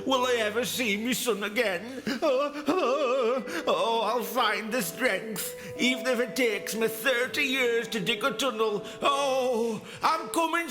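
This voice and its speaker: Shrill, wailing melodramatic prisoner. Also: male voice, in a large hall. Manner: melodramatic, moaning, overwrought. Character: wailing, wronged prisoner father, melodramatically self-pitying. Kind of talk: comic radio play acting.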